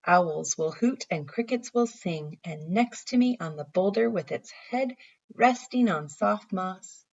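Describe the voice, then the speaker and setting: A woman's voice: mid-range voice, lively intonation, measured pace, vocal fry, a little mellow. A woman reads as an earnest young boy in a bedtime storybook, full of imaginative plans. The recording is a little dull and muffled. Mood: dreamy, assured. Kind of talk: bedtime story read aloud.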